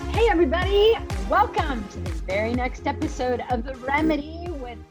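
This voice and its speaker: A woman's voice: high voice, animated, normal speed, over music. Giggly woman co-hosting a podcast. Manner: giggly and chatty. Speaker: podcast co-host. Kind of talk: casual podcast chat. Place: at home.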